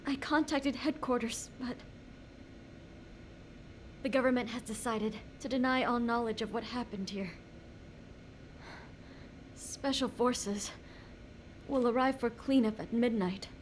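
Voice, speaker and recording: A woman's voice: a little high, unhurried, pauses often, slight background noise, close to an everyday voice. A woman playing an urgent, serious government agent in a dramatic video game cutscene. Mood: grave.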